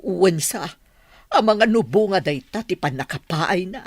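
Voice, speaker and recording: A woman playing a concerned older mother with a husky voice in an Ilocano radio drama. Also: lowish voice, lively intonation, normal speed, dry recording, studio quality. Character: concerned older mother, questioning about her son.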